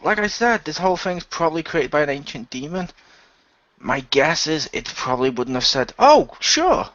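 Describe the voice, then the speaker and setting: A man's voice: on the high side, big pitch swings, normal speed, a bit bright, dull audio, voice pushed up. A man playing a brash, sardonic ex-athlete hero in an improvised audio role-play.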